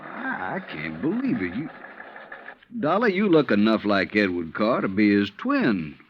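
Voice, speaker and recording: A folksy, skeptical police lieutenant. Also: male voice, lowish voice, wildly swooping pitch, unhurried, husky, full character voice. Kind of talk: 1950s radio drama acting. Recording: muffled old radio broadcast with noise.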